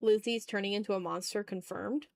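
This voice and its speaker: Girl streamer chatting while she draws, slangy and playful, mid pitch voice, really dry close mic. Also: fairly even intonation, a little fast, vocal fry, no room sound. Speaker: art livestream host. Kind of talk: casual livestream chat while drawing.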